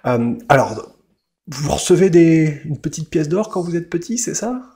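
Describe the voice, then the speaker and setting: A man's voice: a little high, expressive, normal speed, warm tone. A man hosting a casual interview over a headset mic, chatty and full of fillers. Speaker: podcast host.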